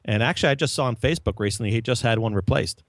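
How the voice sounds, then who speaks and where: A man's voice: medium pitch, rapid-fire, no echo, clean studio sound. A middle-aged man hosting a casual chat, telling long rambling stories about his old grounds crew. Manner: rambling, many restarts. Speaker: podcast host, former head groundskeeper.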